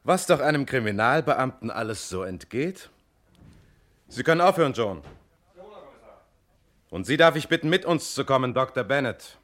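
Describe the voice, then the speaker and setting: An actor playing a shrewd police inspector in an old German radio crime play, formal and measured with controlled courtesy. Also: male voice, mid-range voice, lively intonation, background noise, lightly acted. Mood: commanding, sardonic. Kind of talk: mid-century German radio crime drama acting.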